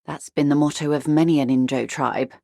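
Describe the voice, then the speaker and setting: A wealthy, middle-aged female negotiator for a video game. Her voice is low and recorded very dry and close. She speaks in measured, eloquent sentences with polished formality and a worldly, dry irony. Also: fairly even intonation, creaky, dark tone, no room sound. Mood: cool, assured. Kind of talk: video game character dialogue.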